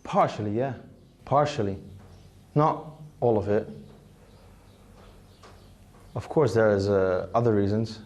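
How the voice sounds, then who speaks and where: Halting young Dutchman with a dark voice. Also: fairly low pitch. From Netherlands. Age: 20s. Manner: halting, hesitant. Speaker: interviewee. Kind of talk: candid interview answers.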